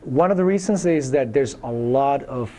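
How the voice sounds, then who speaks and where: A man in his thirties from Chile, speaking English with a Chilean Spanish accent as a university professor answering interview questions. He talks in a thoughtful, halting way, with many fillers and restarts. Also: mid-range voice, lively intonation, mellow.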